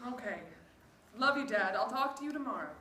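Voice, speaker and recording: A woman's voice: on the high side, lively intonation, brisk, bright, forceful tone. A woman acting a worried, affectionate mother in a staged script reading. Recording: echoey room, faint background noise.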